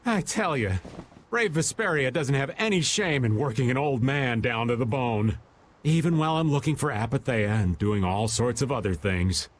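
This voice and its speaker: Actor doing an older male adventurer, easygoing, wry grumbler, lively intonation, game cutscene, faint noise. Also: medium pitch, moderate pace, close to an everyday voice. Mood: mock-grumbling. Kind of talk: English video game dub acting.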